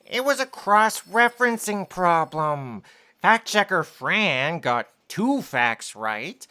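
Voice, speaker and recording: A woman's voice: on the low side, big pitch swings, takes it slowly, pushed and bright, full character voice. A woman playing a fussy, pedantic junior copy aide who speaks with officious precision in a comic sci-fi audio drama. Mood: explaining.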